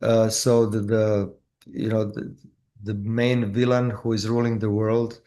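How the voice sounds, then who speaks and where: Halting low-voiced man from Croatia. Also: flat delivery, mellow. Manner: halting, with frequent restarts and fillers. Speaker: filmmaker guest. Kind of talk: casual interview.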